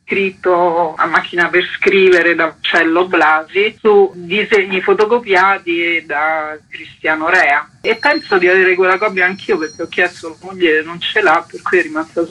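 A woman's voice: lowish voice, steady tone, normal speed, hardly any pauses, bright, forceful tone, breathy edge, rough recording. A middle-aged woman talking informally in a radio interview as a fanzine founder.

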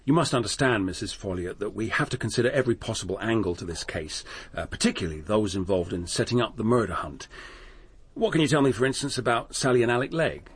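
A man playing a businesslike police inspector in a radio drama, slightly husky, questioning in a measured official manner. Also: on the low side, expressive. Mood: patient, tactful.

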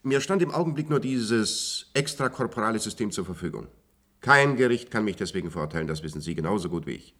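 An actor playing an authoritative institute doctor in a radio drama, precise, cool and matter-of-fact. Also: male voice, on the low side, animated, quick pace, fairly bright tone. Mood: cool, clinical. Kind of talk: German radio play acting.

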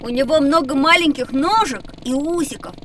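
A woman voicing a curious, cheeky, excitable young schoolboy in a children's educational audio drama. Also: sits high, normal speed, slight background noise. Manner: exclamatory, cheeky.

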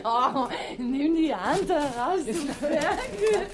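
A woman's voice: sits high, animated, slow. A woman playing a brash, scolding character in a heated crime radio drama. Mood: taunting.

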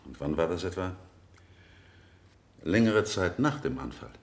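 Male interrogating lawyer, deep and expressive, formal, measured radio drama delivery. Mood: formal, probing. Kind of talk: 1970 German radio play acting.

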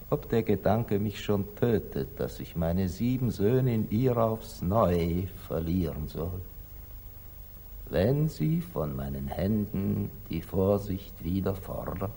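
An actor playing a grave, wise merchant father in a 1940s German radio drama, solemn and declamatory, moving between hushed and fervent delivery. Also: low-pitched, a little hiss or hum, full character voice.

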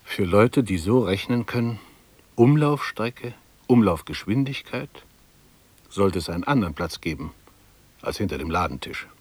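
A man's voice: fairly low pitch, animated, normal speed, slightly rough, dry recording. An actor playing a fussy, fretful middle-aged male bank clerk thinking aloud in a radio drama, precise and ruminative. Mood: musing, pleased. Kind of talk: 1960s German radio drama monologue.

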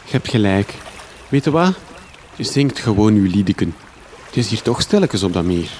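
An actor playing an earnest, wordy Flemish reporter hero in a comic radio drama. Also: male voice, lowish voice, sing-song, normal speed. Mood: conciliatory.

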